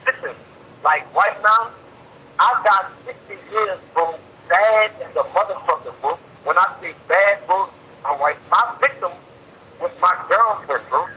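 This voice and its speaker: A man's voice: high-pitched, measured pace, pushed and bright. A man being interviewed by phone, talking in casual street slang, emphatic and repeating himself. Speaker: jail inmate being interviewed. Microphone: telephone handset. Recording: tinny jail phone call, faint noise.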